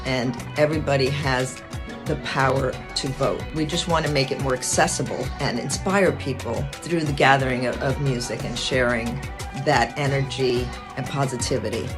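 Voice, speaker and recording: Female narrator, earnest and measured, over a clear music bed. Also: extremely low pitch, fairly even intonation. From Ecuador. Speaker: singer and activist. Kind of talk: scripted promo narration.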